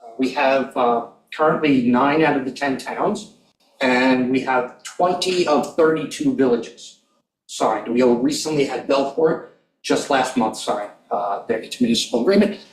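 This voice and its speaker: A man's voice: mid-range voice, unhurried. A man, a county planning director, politely addressing a town board with many uhs. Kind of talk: formal presentation at a public meeting. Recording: echoey room from far off.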